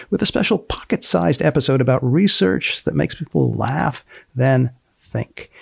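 A man with a gravelly creak hosts a podcast in a deadpan, precise manner. He delivers scripted lines and short questions deliberately, recorded close and dry. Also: a little high, dry recording. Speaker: podcast host and magazine editor.

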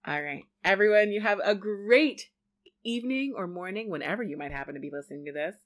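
A chatty woman co-hosting a podcast, swinging her voice up and down and breaking into laughs as she talks. Her pitch sits in the middle for a woman, and she keeps a loose, conversational tone throughout. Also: a little fast. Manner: chatty, animated, laughs easily. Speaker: podcast co-host. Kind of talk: casual podcast chat.

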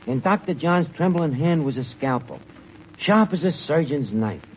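A man's voice: on the high side, lively intonation, moderate pace, close to an everyday voice. A man playing a mock-suspenseful soap-opera narrator in an old-time radio comedy. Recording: muffled, noisy vintage radio recording.

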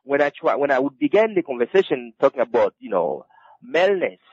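Halting man in a dull, dry recording. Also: mid-range voice, big pitch swings, darkish tone, slightly rough, dry recording. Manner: halting, with many repeated restarts. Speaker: author interviewed about his book. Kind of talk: interview answers.

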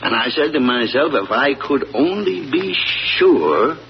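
An actor playing a weary rural farmer father just out of prison, in a slow folksy drawl, in 1940s radio acting style. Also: mid-range voice, sing-song, gravelly. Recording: noisy lo-fi old radio recording.

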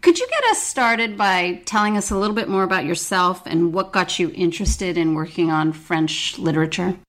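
A woman hosting an academic book podcast, polite and measured, reading a scripted introduction and asking questions. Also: lowish voice, fairly bright tone. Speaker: podcast host.

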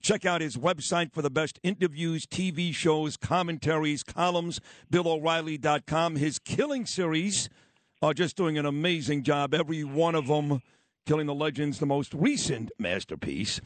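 A man's voice: fairly high pitch, no echo. A man with a Brooklyn accent hosting a morning radio talk show, emphatic and enthusiastic. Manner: emphatic, enthusiastic, fast-talking. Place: radio studio.

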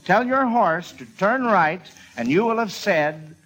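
A man's voice: high-pitched, expressive, measured pace, a bit bright, poor audio. Folksy 1940s radio quiz host, a man. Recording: old radio recording with faint noise.

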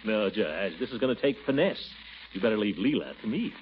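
An actor playing a jovial, pompous middle-aged small-town schemer in a theatrical, blustery, teasing 1940s radio comedy style. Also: male voice, on the low side, expressive, normal speed, close to an everyday voice. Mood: scheming. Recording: muffled, noisy 1940s radio recording.

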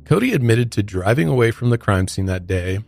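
Deep-voiced male podcast host. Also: expressive, brisk, creaky, darkish tone, faint music behind. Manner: casual, explains clips to co-host. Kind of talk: true crime podcast narration.

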